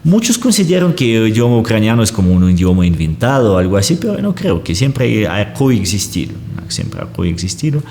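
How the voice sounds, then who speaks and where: A very low-pitched man speaks, explaining his views at length while hunting for words and checking in with his listener. His voice carries a long reverb tail. A faint hum of background noise sits underneath. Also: darkish tone. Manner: searches for words, often checks in with a questioning "¿sí?". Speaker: guest analyst. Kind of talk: explanatory conversation.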